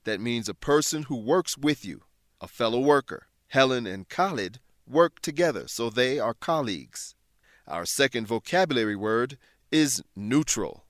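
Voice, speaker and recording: A man's voice: medium pitch, lively intonation, normal speed, fairly bright tone, dry recording, clean studio sound. A man hosting an English lesson, reading scripted educational narration. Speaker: host and English teacher.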